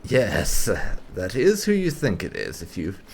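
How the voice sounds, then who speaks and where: A man's voice: on the low side, huge pitch swings, measured pace, a little mellow, a little hiss or hum. A man giving roleplay playthrough commentary on a video game, musing with wry understatement. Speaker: gaming channel host.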